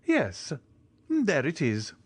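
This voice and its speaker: A man doing a high, timid old Italian major. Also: wildly swooping pitch, drawn-out words, slightly rough, no echo, exaggerated voice. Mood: eager, fawning. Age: older. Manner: timid, fawning, exclaiming.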